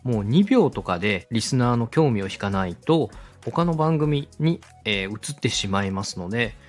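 Guy hosting a how-to podcast, really animated pitch, polite and explains step by step, faint music behind. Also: moderate pace. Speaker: host, radio director teaching podcast production. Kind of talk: instructional podcast talk with a co-host.

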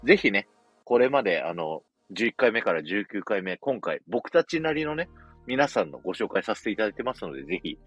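A man's voice: on the low side, big pitch swings, a little fast, slightly rough, highs cut off, soft background music. A man co-hosting a live audio streaming show, chatting with his partner and making announcements.

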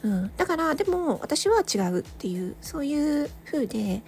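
A woman hosting a solo audio show, chatting casually and reflectively to listeners, thinking aloud with hesitant pauses. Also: sits high, big pitch swings, a little mellow, slight background noise. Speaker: solo audio channel host, amateur voice actor.

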